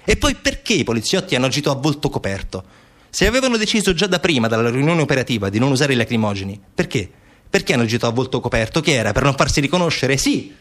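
A man performing a theatrical narrative monologue as a stage actor, with an ironic, questioning manner. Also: medium pitch. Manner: rhetorical questions, ironic, acting out quoted replies.

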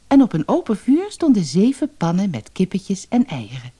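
Very animated woman telling a fairy tale. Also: medium pitch, moderate pace, slightly rough. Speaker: storyteller. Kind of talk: expressive fairy-tale narration.

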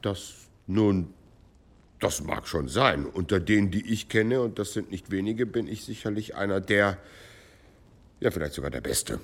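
Radio drama copyist monk: very deep, fussy, self-satisfied, hesitant. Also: male voice, animated, measured pace, creaky, full character voice. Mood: proud, hesitant. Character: fussy, proud male monastery copyist.

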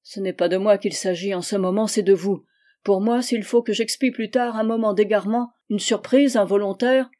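A woman reading the part of an earnest young man, speaking with steady, measured persuasion in her own voice. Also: mid-range voice, lightly acted. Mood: firm. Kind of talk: audiobook dialogue reading.

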